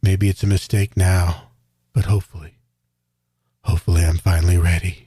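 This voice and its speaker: A man's voice: deep voice, flat delivery, normal speed, dark tone, husky, no echo, studio quality. A man playing a solemn, regretful character in a grave, reflective dramatic monologue. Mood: solemn, resolved. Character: solemn man facing fear and old regrets. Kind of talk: dramatic first-person monologue.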